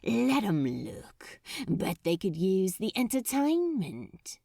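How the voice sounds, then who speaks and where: A woman voicing a cocky, seductive male demon in a fan fiction audiobook, with a drawn-out, hissing murmur. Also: mid-range voice, sing-song, gravelly, mellow, no echo, clean studio sound, exaggerated voice. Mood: brazen.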